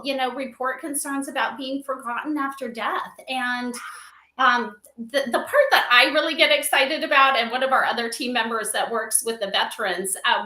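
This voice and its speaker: A woman's voice: sits high, pushed and bright, echoey room. A woman chatting casually as an interview guest, effusive and emphatic in agreement, on a livestream call. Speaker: guest advisor.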